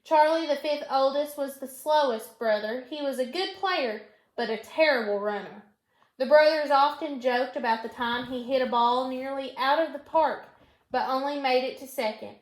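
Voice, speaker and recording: A woman teacher reading a storybook aloud in an instructional way, pausing to put questions to her pupil and repeat answers. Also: sits high. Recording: echoey room.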